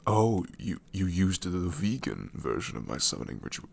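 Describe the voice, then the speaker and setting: Male VA: ancient shadow demon, sassy and grumbling, very low voice, lively intonation, close-mic ASMR. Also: normal speed, creaky, slightly rough, full character voice. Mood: exasperated sarcasm. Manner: sarcastic, incredulous, grumbling. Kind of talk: ASMR roleplay audio.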